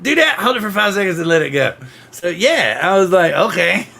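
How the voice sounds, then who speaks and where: Middle-aged guy hosting a podcast, kinda gravelly, bright edge, rambles and jokes around. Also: high voice, big pitch swings, fairly quick. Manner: rambling, jokey, laughs often. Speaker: podcast host. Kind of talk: casual chat on a livestream panel.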